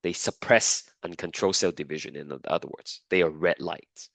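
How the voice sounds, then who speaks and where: A man's voice: deep voice, steady tone, moderate pace, vocal fry, a bit bright, dry recording. A man teaching a biology class while sick and losing his voice, repeating key points and checking in with students. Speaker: biology lecturer. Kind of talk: classroom lecture.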